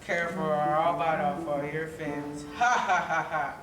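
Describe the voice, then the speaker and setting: A man's voice: fairly high pitch, steady tone, normal speed, distant mic, lightly acted. Man playing a boastful shark bully, echoey hall. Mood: menacing, boastful. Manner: menacing, bragging, theatrical villain. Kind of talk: children's musical stage play acting. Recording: echoey, noisy hall recorded from afar.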